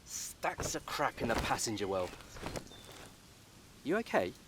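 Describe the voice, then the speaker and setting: An actor playing a blunt, caring friend in a radio soap, talking in a wry, emphatic, colloquial way. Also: male voice, mid-range voice, very animated, measured pace, noisy background. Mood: teasing, concerned. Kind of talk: naturalistic radio soap acting.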